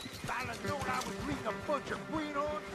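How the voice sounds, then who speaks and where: A man voicing a gruff, impatient American cowboy guide in a western video game, grumbling and giving terse orders. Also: extremely high pitch, steady tone, a little fast. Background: loud music.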